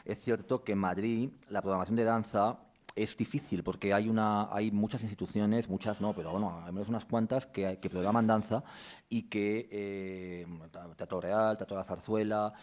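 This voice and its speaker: Man, very low voice, dark tone, press conference presenter, explanatory, restarts often. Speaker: theatre artistic director presenting a season.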